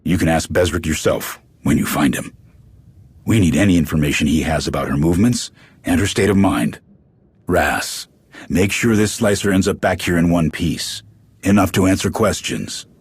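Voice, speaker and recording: An actor playing a stern military leader in a video game, curt and commanding, with a rough voice. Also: male voice, low-pitched, moderate pace, no room sound. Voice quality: rough, husky.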